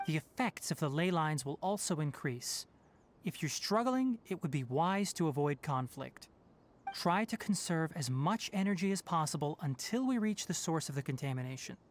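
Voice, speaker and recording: A man voicing a calm, principled young male forest ranger and scholar in a video game, speaking in a measured, thoughtful way. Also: fairly high pitch, a little hiss or hum, natural voice. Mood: commanding.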